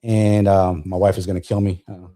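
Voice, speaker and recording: A man in his fifties speaks in a low, relaxed, dark-toned voice. He is a veteran guest on a podcast, telling his story casually and restarting phrases as he goes. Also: moderate pace, a little hiss or hum. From New Jersey, born in Colombia. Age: 50s.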